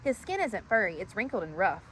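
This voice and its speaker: A woman storyteller reading a rhyming children's story aloud in an animated style. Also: medium pitch, fairly quick, slight background noise, poor audio.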